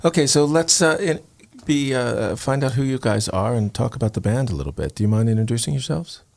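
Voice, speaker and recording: A man's voice: mid-range voice, expressive, a little fast, gravelly, a little mellow. A man hosting a radio interview in a studio, enthusiastic and stumbling over his words. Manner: enthusiastic, stammering restarts. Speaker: radio host. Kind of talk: casual interview chat. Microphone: studio microphone with headphones.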